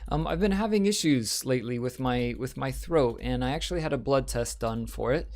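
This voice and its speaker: Male gaming host, mid-range voice with a touch of rasp, rambling casual commentary. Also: mid-range voice, lively intonation, brisk, a little mellow. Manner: chatty and rambling, with frequent ums and uhs. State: slightly raspy, voice a bit off. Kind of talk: casual Minecraft let's-play commentary.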